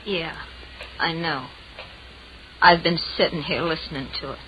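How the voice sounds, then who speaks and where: A woman's voice: deep voice, a little slow. A woman playing an overwrought, guilt-ridden young woman in an old radio horror drama, intense and melodramatic. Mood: resigned. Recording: dull, noisy vintage radio recording.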